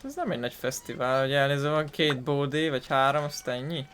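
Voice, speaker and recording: A man's voice: medium pitch, animated, fast talker, fairly bright tone, a little hiss or hum. A man giving casual commentary as he plays a video game. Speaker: gaming YouTuber.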